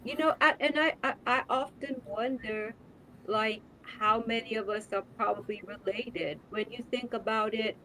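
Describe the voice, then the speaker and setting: A woman's voice: on the high side, steady tone, moderate pace, sharp tone, slight background noise, rough recording. A woman hosting a podcast in casual conversation, speaking earnestly and stressing her points, often repeating words as she builds up to them. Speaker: podcast host.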